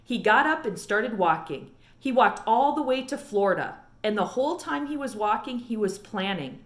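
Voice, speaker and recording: Storybook elderly lady librarian, woman performing: proper, emphatic storyteller, lively melodic delivery. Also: fairly high pitch, moderate pace, close to an everyday voice. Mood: storytelling.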